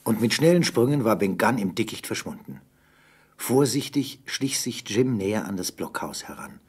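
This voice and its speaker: A man as the measured narrator of a German adventure radio play, telling the story with a husky voice. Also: fairly low pitch, very animated. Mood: measured, narrative.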